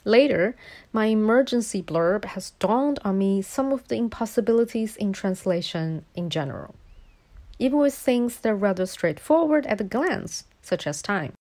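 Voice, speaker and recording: Measured woman speaking as a Chinese native. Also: medium pitch, expressive, a little mellow. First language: Chinese dialect. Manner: measured, explanatory. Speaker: guest speaker. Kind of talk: scripted voice-over essay.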